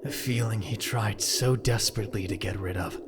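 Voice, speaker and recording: Low-voiced male narrator over noisy ambience. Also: a little fast. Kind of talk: scripted dramatic narration.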